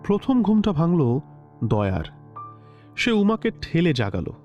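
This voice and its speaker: A man narrating a classic story in a measured, expressive literary style. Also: fairly high pitch. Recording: slightly dull lo-fi recording with soft music.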